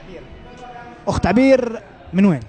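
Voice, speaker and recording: A man with a Yemeni accent interviewing passersby in the street with brisk, upbeat questions. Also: high voice, lively intonation, darkish tone. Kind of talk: street vox pop interviews.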